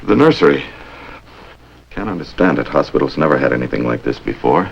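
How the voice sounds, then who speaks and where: Earnest, perplexed hospital chief in vintage crime drama, gravelly bass, muffled recording with faint noise. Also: male voice, expressive, a little fast, exaggerated voice. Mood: perplexed, troubled. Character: concerned, courteous male hospital chief of staff. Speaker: doctor. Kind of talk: 1950s crime drama acting.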